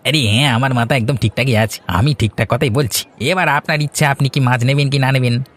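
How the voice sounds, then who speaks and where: A man's voice: mid-range voice, fairly even intonation, fairly quick, fairly bright tone, lightly acted. A man playing an eager village fish seller in a cartoon, with a Bengali accent and polite but insistent sales patter. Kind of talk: cartoon voice acting.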